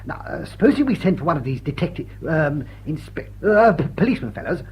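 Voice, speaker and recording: Dim eager English toff, upper-class British accent, very husky, hesitant, animated, radio drama. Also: male voice, a little high, brisk, exaggerated voice. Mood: eager, muddled. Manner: hesitant, bumbling. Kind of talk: period drawing-room radio drama acting.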